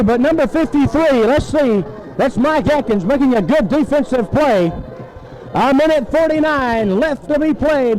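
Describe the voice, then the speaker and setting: A man's voice: very high-pitched, a little mellow, low-quality recording. A man calls live football play-by-play on a 1970s radio broadcast, in clipped phrases full of names and numbers.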